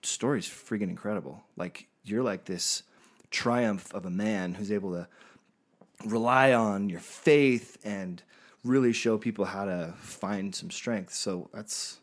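A man's voice: lowish voice, measured pace. A man co-hosting a podcast in a casual chat, speaking in an informal, slangy, enthusiastic way. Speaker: podcast co-host.

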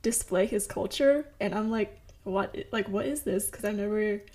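A woman's voice: medium pitch, moderate pace, darkish tone. A young adult woman telling a childhood story in casual conversation, with lots of "like" fillers. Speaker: participant.

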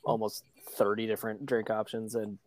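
A man's voice: on the low side, level tone, moderate pace. A man chatting casually and conversationally on a sports podcast.

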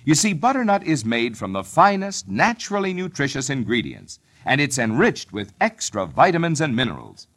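A man's voice: mid-range voice, huge pitch swings, normal speed, hardly any pauses, a bit bright. A man reading a commercial as an announcer in an old-time radio advertising style.

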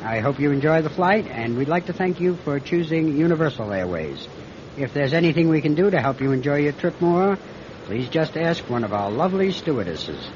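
An actor playing a troubled but resolute airline captain in a classic radio drama, American, with a clipped, plain-spoken delivery. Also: male voice, a little high, very animated, brisk, noisy background. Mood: calm, official. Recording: dull lo-fi old radio recording.

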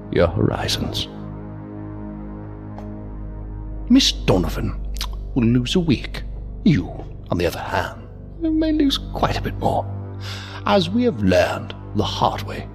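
A man's voice: fairly low pitch, wildly swooping pitch, takes it slowly, pauses often, faint music behind, full character voice. A man playing a sinister, courtly, inhuman villain in an audio drama, verbose and mockingly polite in a mannered, theatrical way. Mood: quietly menacing. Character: sinister, courtly, unhurried villain, inhuman interrogator posing as a gentleman. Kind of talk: science fiction audio drama acting.

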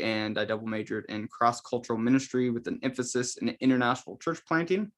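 A man's voice: mid-range voice, fairly bright tone. A young man from the Seattle area, a podcast guest, joking and self-deprecating on a video call. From Seattle area, Washington. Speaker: podcast guest, seminary student. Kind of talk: casual video call chat.

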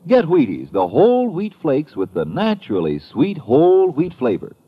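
A folksy American man selling on old-time radio. His voice is fairly high and husky, with very animated, emphatic delivery. The recording sounds slightly dull. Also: normal speed. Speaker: commercial pitchman. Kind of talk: 1950s radio advertisement.

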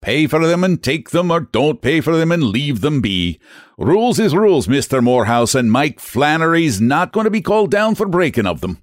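A man's voice: fairly high pitch, very animated, measured pace, dry recording, clean studio sound, pitched above the performer's own voice. A man voicing a stubborn, rule-bound Irish express agent who speaks in thick dialect and mocks the customer. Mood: indignant.

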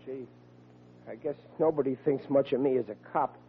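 An actor playing a bumbling, excitable young rookie cop in 1940s radio comedy style, stammering in ungrammatical everyman talk. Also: medium pitch, normal speed, a little hiss or hum, lightly acted. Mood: dejected. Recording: tinny old radio recording.

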